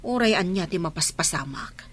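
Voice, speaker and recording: A woman's voice: fairly low pitch, lively intonation, moderate pace, fairly bright tone. A woman with an Ilocano Filipino accent playing a frank, scolding village wife in a radio drama. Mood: reproachful.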